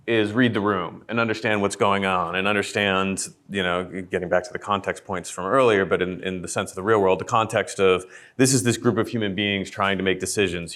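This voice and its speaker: Thoughtful man on a panel, lowish voice. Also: fairly bright tone. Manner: thoughtful, measured, with restarts. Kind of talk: panel discussion.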